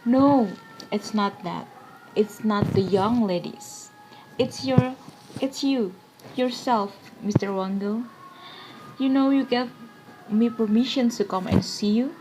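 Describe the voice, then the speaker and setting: Woman playing a shy, slow-spoken young gentleman. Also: a little high, background noise. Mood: eager, flustered. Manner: polite, bashful, hesitant. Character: shy, deferential, eager young man visiting with flowers.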